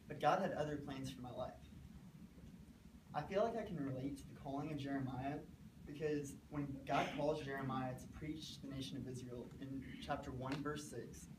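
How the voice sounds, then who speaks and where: A teenage man giving a short memorized sermon, earnest and measured, speaking in short phrases. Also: medium pitch, noisy background. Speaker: student preacher. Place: classroom with a small stage, indoors. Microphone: far camera, no microphone. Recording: echoey room from far off.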